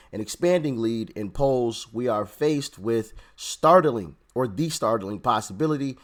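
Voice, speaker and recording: A man with a hoarse, fading voice hosting a reaction commentary, sarcastic with laughing asides. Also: mid-range voice. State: hoarse, losing his voice. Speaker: political commentary YouTuber.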